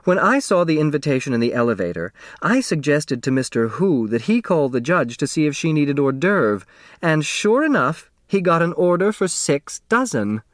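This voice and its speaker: Man voicing a syrupy, high-pitched social-climbing mother. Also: big pitch swings, normal speed, dry recording, clean studio sound. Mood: gushing. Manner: wheedling, syrupy, affected. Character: gushing, wheedling social-climbing middle-aged mother, honey-sweet and uppity.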